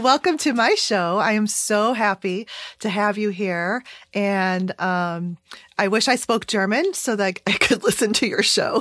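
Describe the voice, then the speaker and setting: A woman's voice: medium pitch, fairly bright tone, no room sound. Chatty woman podcast host, dry close sound. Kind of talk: casual podcast interview chat.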